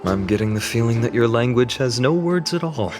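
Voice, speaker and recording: A man's voice: medium pitch, expressive, fairly quick, close to an everyday voice. A man playing a warrior's inquisitive companion in a video game, speaking in a conversational, curious way. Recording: background music under the voice.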